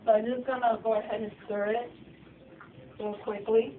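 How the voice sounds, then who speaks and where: A slightly hoarse woman chatting loosely through a cooking demonstration in her home kitchen. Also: on the high side, flat delivery, moderate pace. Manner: chatty, loose, with fillers and restarts. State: slightly hoarse, getting sick. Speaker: cooking show host. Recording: distant, tinny and noisy home video.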